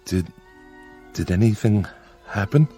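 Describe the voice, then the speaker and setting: An actor playing an uneasy, placating man in a radio drama, halting and carefully pleasant. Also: fairly low pitch, animated, mellow, soft background music.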